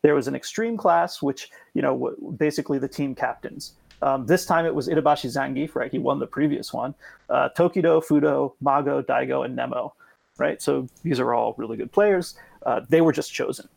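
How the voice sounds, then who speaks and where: A man with a very gravelly, fry-heavy voice, explaining things at length as a podcast guest. He restarts his sentences and fills pauses with ums as he works through each point. The recording is dry and close, with no room sound. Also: medium pitch, a little mellow, dry recording. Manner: explains at length with frequent ums and self-corrections. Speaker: guest expert.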